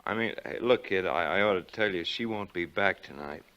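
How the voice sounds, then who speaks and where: An old, dull-sounding radio recording of a guilty man with a very low, bright-edged voice. He stammers and dodges, nervous and blustering, with menace underneath, in 1940s suspense style. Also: a little fast, clean tone. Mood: nervously coaxing.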